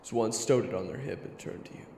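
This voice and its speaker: Gravelly, echoing male story narrator. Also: mid-range voice, a little fast, warm tone, a little hiss or hum. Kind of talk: dramatic second-person story narration. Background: dramatic music.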